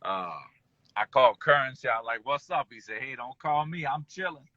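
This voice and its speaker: A man born in Kuwait and raised in Detroit, telling his life story as an interview guest in a relaxed, rambling way full of fillers. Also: mid-range voice, moderate pace, no room sound, dull audio. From born in Kuwait, raised in Detroit and New Orleans. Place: at home, just pulled into driveway.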